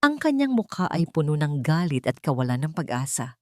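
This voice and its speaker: A woman narrates a romantic drama as a scripted story. Her voice sits a little low, solemn and dramatic, recorded close and dry. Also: very animated, moderate pace, dry recording.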